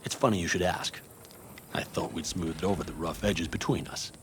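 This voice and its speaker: A man playing a gruff, wry nomad clan leader in a video game, speaking in a blunt, dry, weighty way. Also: deep voice, fairly even intonation, moderate pace, a little hiss or hum. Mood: warm, reflective.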